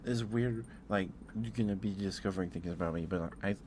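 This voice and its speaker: A man doing a casual, rambling ASMR livestream, speaking in a hesitant, musing way and repeating himself over clear background noise. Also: low-pitched, a little fast. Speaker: live streamer.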